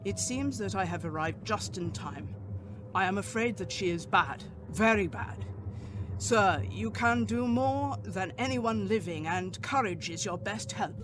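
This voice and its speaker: A man's voice: sits high, lively intonation, measured pace. A man playing a grave, commanding older professor and doctor in a gothic radio drama, speaking formally and solemnly. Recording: clearly noisy background.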